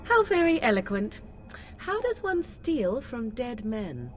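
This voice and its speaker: Haughty witch, slow and theatrical. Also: a little high, very animated. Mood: dry, haughty. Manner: theatrical, archaic, arch phrasing. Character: haughty, mocking young witch of the wilds. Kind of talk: fantasy video game acting. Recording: music under the voice.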